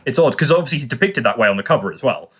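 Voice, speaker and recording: A man's voice: mid-range voice, animated, racing, a bit bright, breathy edge. A man hosting a casual review podcast, talking discursively and qualifying his points as he goes. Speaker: podcast host.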